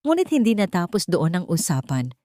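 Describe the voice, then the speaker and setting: A woman's voice: fairly low pitch, big pitch swings, fairly quick, warm tone, no room sound, studio quality. A woman reading a scripted, dramatic first-person story as an audio narrator.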